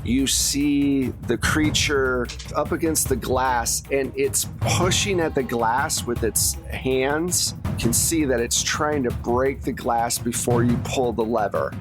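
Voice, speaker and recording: A man running a tabletop horror game, improvising the narration in short phrases with pauses between them. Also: mid-range voice. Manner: pausing, piecing out sentences in short phrases. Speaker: game master of a tabletop actual play. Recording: music playing under the voice. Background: music.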